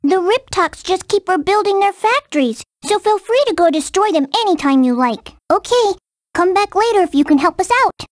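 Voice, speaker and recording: Very high-pitched game creature. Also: female voice, lively intonation, normal speed, dry recording, studio quality. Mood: earnest, encouraging. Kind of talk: video game character dialogue.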